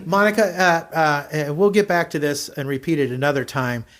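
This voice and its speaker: A man's voice: on the high side, moderate pace, a bit bright. An older man hosting a casual podcast interview, chatty and encouraging with small affirming interjections. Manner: chatty, affirming with frequent backchannels. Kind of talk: casual radio-style interview chat.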